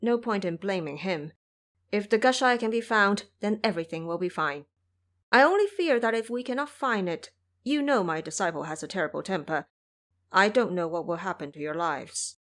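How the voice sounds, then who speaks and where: A woman voicing a calm, grave male Buddhist master who speaks quick warnings in an audio drama. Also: mid-range voice, natural voice.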